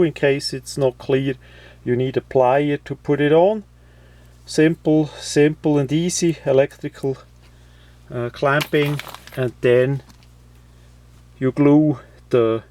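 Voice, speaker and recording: A man presenting a how-to, explaining step by step in an unscripted, halting way with frequent pauses. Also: mid-range voice, mellow, no echo. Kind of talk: unscripted step-by-step tutorial talk.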